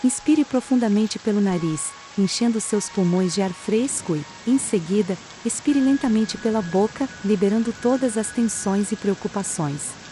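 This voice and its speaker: A woman with a Brazilian accent calmly guides a relaxation meditation, reading in a soothing, unhurried manner with pauses between phrases. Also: medium pitch, slight background noise, poor audio. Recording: steady rain sound behind the voice. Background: soft rain.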